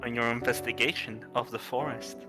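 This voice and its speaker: A streamer reading a visual novel aloud and commenting on it, with a halting, unhurried delivery. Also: mid-range voice, fairly even intonation, over music. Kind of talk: livestream game narration, read aloud.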